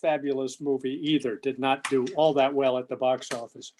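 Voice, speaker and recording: A man co-presenting a prepared but conversational lecture, with measured, deliberate phrasing. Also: medium pitch, flat delivery, a little mellow, a little hiss or hum.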